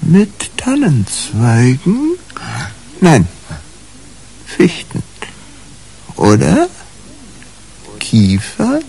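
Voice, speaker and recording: An actor playing a clairvoyant in a trance, groping for visions in halting fragments, in a radio crime drama. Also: male voice, a little high, wildly swooping pitch, vocal fry, dark tone, a little hiss or hum, full character voice, poor audio. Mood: trancelike, searching.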